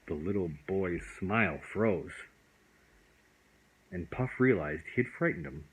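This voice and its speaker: A male storyteller reads a bedtime tale aloud. His voice sits fairly low with a husky edge. He is expressive, moving freely through his range. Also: unhurried, rough recording.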